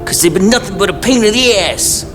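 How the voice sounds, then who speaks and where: Whiny defensive young thug, game cutscene, pitch all over, reverby w music. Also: male voice, expressive, brisk. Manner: petulant, defensive. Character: sullen, hot-headed young henchman, the boss's nephew. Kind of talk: video game cutscene acting. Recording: long reverb with loud music behind.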